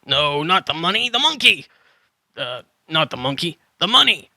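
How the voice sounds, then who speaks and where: An eccentric old dinosaur hermit, acted out by a man, with a very bright, forceful edge over a creaky rasp. He is a flustered trader from a children's audio drama who jumbles his words. The recording is dry and close. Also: fairly high pitch, sing-song, moderate pace, no echo, exaggerated voice. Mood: panicked, alarmed. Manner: muddles and swaps word order, then corrects himself.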